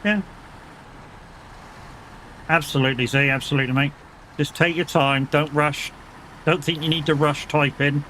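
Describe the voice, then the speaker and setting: A man's voice: a little high, steady tone, normal speed, long pauses, a bit bright, a little hiss or hum. A man with a British accent, chatting casually with viewers during a live gaming stream, reassuring and easygoing, swearing now and then and laughing often. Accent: British English.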